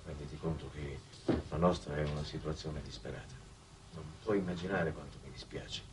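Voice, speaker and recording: An actor playing an apologetic, uneasy envoy from Earth in a 1960s television drama, speaking formally and earnestly. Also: male voice, very deep voice, steady tone, a little fast. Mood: apologetic, grim. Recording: slightly distant, noisy old TV soundtrack.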